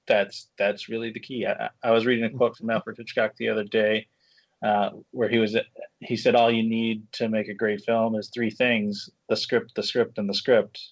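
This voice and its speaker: A man speaking casually as an interview guest, a screenwriter and director, in a halting, thoughtful way that circles back through rephrasings. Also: lowish voice, flat delivery, dry recording. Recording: dull, muffled lo-fi audio.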